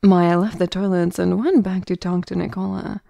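A woman's voice: fairly low pitch, fairly even intonation, normal speed, vocal fry, warm tone, no echo. A woman reading scripted narration for an audio drama.

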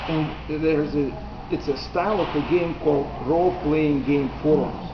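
A middle-aged man with a Russian accent, presenting a project to a small group in a halting, chatty way, filmed by a camera across a large wood-beamed hall. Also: on the high side, steady tone. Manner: halting, restarts phrases, chuckles at own jokes. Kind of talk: informal presentation to seniors. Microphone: far camera. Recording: dull roomy camcorder audio, loud hum.

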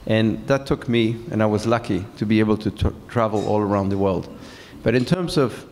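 A middle-aged man from France, speaking as a guest on stage in a large hall, pausing with many fillers. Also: on the low side, darkish tone. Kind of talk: onstage conversation. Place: large event hall with seated audience. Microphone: handheld mic.

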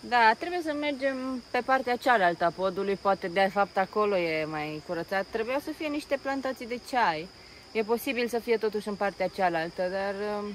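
A woman chatting casually as a travel vlogger, recorded outdoors. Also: medium pitch. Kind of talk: casual travel vlog chat. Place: outdoors near a jungle railway bridge. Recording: noisy outdoor recording with insects, traffic.

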